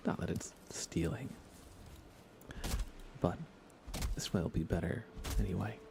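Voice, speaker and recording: Man, low voice, soft near-whisper, creaky, unhurried casual ASMR game stream, dry humor. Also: sing-song, background noise. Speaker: live streamer, host. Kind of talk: relaxed ASMR gaming livestream commentary.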